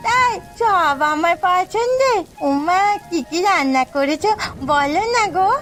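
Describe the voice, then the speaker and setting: A woman performs a little village girl for a cartoon. She is eager and impatient, wheedling in a very high voice. Faint music plays behind her. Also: lively intonation, measured pace, a bit bright, heavily acted. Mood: excited. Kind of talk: Bengali cartoon story voice acting.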